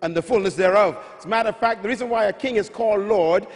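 A man's voice: high voice, fast. Middle-aged Bahamian man, emphatic preacher, handheld mic in a big reverberant arena. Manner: emphatic, repetitive teaching with rising calls. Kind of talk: sermon teaching. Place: large arena stage before a big audience. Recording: large hall with long reverb.

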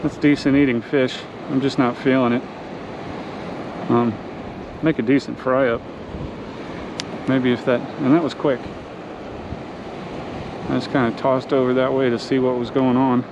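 A man's voice: mid-range voice, flat delivery, measured pace, pauses often. A man casually talking to himself while fishing from an ocean pier, recorded on a body-worn action camera. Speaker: fishing vlogger. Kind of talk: casual first-person commentary. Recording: muffled action-camera audio with wind and surf.

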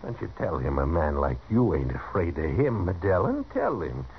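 A man's voice: low voice, huge pitch swings, normal speed, heavily acted. An American actor playing a boastful, foolish cowboy who tags after a gunman in a 1950s radio Western, brash and goading. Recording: muffled, noisy 1950s radio recording.

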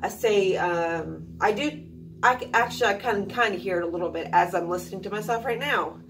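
A woman's voice: medium pitch, fairly quick, distant mic, over music. A young woman from Alaska chatting casually to camera at home, rambling with many fillers. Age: young adult. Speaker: vlogger. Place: small room at home, indoors.

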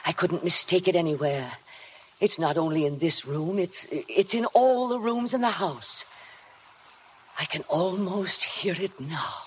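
Sinister housekeeper, old-time radio play. Insinuating, formal, hypnotic; slightly noisy vintage recording. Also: female voice, fairly low pitch, expressive, unhurried. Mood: eerie, insinuating. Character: sinister, obsessive housekeeper, coldly devoted to her dead mistress. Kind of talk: 1950s radio drama acting. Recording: vintage 1950 radio broadcast, faint noise.

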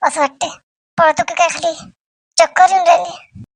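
Woman voicing a high-pitched, fussing village auntie. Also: flat delivery, moderate pace, creaky. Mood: chatty. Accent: Varhadi Marathi from Vidarbha. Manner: exclaiming, repeats words when fussing. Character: Shantabai, excitable, fussing rural Marathi auntie. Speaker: cartoon channel's main character and presenter. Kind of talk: homemade comedy cartoon dialogue.

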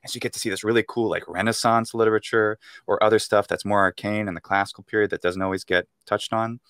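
A man's voice: lowish voice, steady tone, quick pace, fairly bright tone, clean tone, dry recording, clean studio sound. Thoughtful American man on a video call. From United States. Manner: thoughtful, explains at length with fillers and restarts. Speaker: guest, Latin speaker and teacher. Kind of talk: casual interview over video call. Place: room at home. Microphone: earphone mic on video call.

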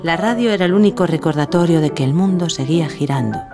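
An actress playing a self-assured, witty 1940s film star in a scripted audio drama, poised and seductive. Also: female voice, deep voice, quick pace, music in the background, lightly acted. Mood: reminiscing, proud. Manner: poised, confident, seductive storytelling.

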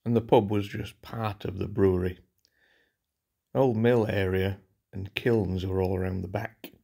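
A man's voice: fairly low pitch, gravelly, warm tone, husky, no echo, clean studio sound. A man in his forties casually narrating an urban exploration vlog, chatty and rambling with fillers, filmed on a phone held at arm's length outside. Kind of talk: casual walk-through commentary. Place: outdoors by a canal path. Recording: phone audio with outdoor noise.

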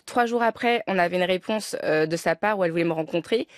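A woman's voice: lowish voice, quick pace, dry recording. A woman in her thirties, a film director guest on a radio interview, talking with many restarts and fillers into a studio mic. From France. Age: 30s. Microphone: studio mic on arm.

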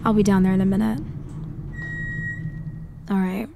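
A woman playing a cool, teasing, controlling mafia leader in an ASMR roleplay, speaking deliberately in a murmur that often drops toward a whisper. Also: medium pitch, little pitch movement, gravelly, background noise, natural voice. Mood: cool, businesslike. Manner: slow, deliberate, softly taunting, often dropping to a whisper. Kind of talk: ASMR roleplay audio acting.

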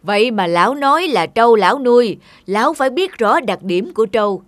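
A man's voice: extremely high pitch, lively intonation, fairly quick, a bit bright, a little hiss or hum. A man playing a stern, shrewd magistrate with a formal, authoritative manner in a cartoon folk tale. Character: stern, shrewd male mandarin judge.

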